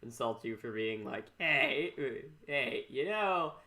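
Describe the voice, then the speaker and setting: A man with a bright, sharp-edged voice of ordinary pitch hosts a casual chat. He rambles in a jokey way and stumbles over words as he goes. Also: expressive, slow. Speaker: podcast host.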